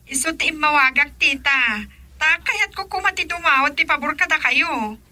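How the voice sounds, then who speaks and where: A woman's voice: high-pitched, lively intonation, moderate pace, bright, forceful tone, exaggerated voice. A Filipino woman playing an eager young niece in a radio drama.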